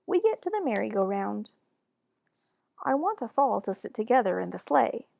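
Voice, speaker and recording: Woman reading a kids book, high animated voice, takes it slow, bit creaky, dry sound. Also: no room sound. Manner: deliberate, animated. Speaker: children's book reader. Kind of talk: expressive storybook narration.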